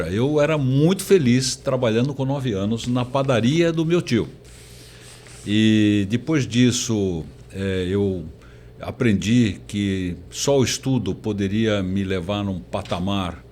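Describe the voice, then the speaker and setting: An older man, a professor, telling his story as an interview guest in a halting, conversational way. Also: fairly low pitch, darkish tone. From born in Portugal, emigrated very young. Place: recording studio. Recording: faint background noise.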